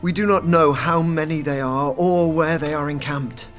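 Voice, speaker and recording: A man's voice: fairly high pitch, moderate pace, soft background music, close to an everyday voice. A man playing a cautious, courteous medieval French garrison captain in an audio drama, formal and careful.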